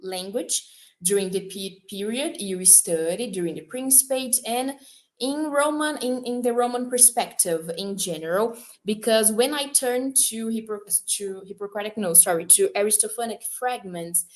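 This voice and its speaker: A woman's voice: mid-range voice, moderate pace. A woman whose first language is Portuguese asks a scholarly question at an academic event, hesitating and correcting herself. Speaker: event moderator and student.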